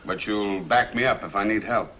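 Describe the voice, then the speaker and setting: A portly private eye from a 1950s crime film, played by a male actor. He is shrewd and easygoing, with a bright, forceful voice. The soundtrack has a faint old hiss. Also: lowish voice, normal speed, natural voice. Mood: probing. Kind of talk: 1950s film noir acting.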